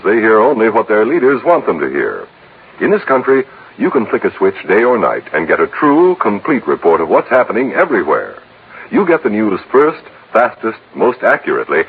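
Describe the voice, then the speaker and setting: Man, 1950s radio promo read, low lively voice, lo-fi. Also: slightly rough. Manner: earnest, persuasive. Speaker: network announcer. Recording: phone-like old radio broadcast.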